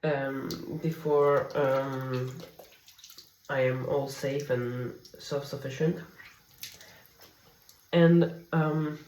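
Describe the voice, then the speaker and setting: A woman in her thirties whose first language is Polish, speaking in a soft, candid, confiding way in a personal diary vlog at home. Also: rumbling low, fairly even intonation, drawn-out words, pauses often, reverberant, far from the mic, rough recording. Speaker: vlogger. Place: home, at night.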